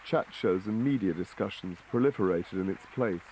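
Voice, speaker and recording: A man's voice: low-pitched, moderate pace, a little mellow, a little hiss or hum, low-quality recording. A man reading scripted documentary narration.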